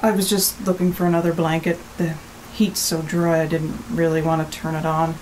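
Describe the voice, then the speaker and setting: An actress plays a wary woman staying at a rental in an audio drama. Her voice is fairly low, with terse, blunt, reserved delivery. A little noise and ambience runs underneath. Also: level tone, moderate pace, darkish tone, close to an everyday voice, rough recording. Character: guarded, blunt, faintly uneasy female rental guest. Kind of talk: naturalistic audio drama acting.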